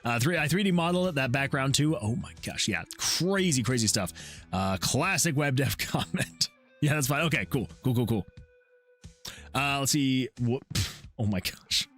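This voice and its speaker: A man's voice: mid-range voice, lively intonation, normal speed, fairly bright tone, soft background music. A man streaming his indie game development, chatting in a loose, effusive way and often repeating his praise. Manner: effusive, rambling, repeats himself. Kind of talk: casual livestream chat.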